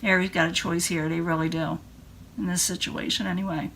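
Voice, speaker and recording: A woman tarot reader giving an informal, halting reading straight to the listener, her voice starting to go hoarse. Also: lowish voice, flat delivery, fairly bright tone, slight background noise, rough recording. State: voice starting to go hoarse, throat clearing.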